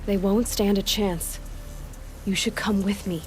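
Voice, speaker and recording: A woman's voice: mid-range voice, fairly even intonation, a little slow, close to an everyday voice. Woman acting a dry, guarded young huntress over music. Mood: dry, exasperated. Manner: dry, guarded, plain-spoken. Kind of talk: video game cutscene acting.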